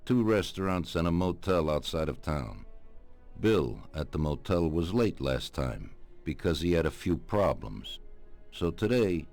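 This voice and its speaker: An aging mafia don in a crime game, with an extremely low voice. He speaks without hurry and gives orders with calm authority, with faint music behind him. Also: exaggerated voice. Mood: businesslike. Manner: unhurried, authoritative. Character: commanding, measured elderly Italian-American mafia don. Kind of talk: dramatic video game cutscene acting.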